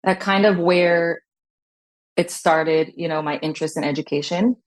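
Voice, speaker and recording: A woman guest who grew up in Long Beach, California, with Hebrew as her first language, talking in a relaxed, reflective way with many fillers. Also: lowish voice, moderate pace. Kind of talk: casual conversational interview.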